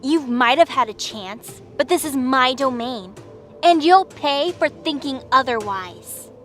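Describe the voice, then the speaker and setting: A woman's voice: high-pitched, lively intonation, a bit bright, faint music behind. A woman playing a menacing, commanding war goddess in an audio drama, cold and imperious. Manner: imperious, slow deliberate threats.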